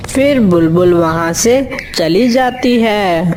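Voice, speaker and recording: Slow-speaking woman storyteller. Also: fairly low pitch, lively intonation, darkish tone, slight background noise. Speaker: narrator. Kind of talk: scripted children's story narration.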